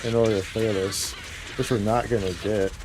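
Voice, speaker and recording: A man's voice: on the low side, fairly even intonation, moderate pace. A man giving casual livestream gameplay commentary, talking informally to his viewers. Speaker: game streamer, host. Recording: game music behind voice. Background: video game music.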